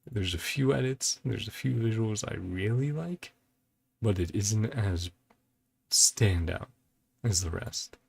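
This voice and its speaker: Slow, gravelly man musing aloud. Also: on the low side, animated, a little mellow. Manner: musing, hesitant, thinking aloud in short fragments. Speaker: host of a reaction and ranking video. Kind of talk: casual reaction commentary. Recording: music playing behind the voice. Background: game trailer music.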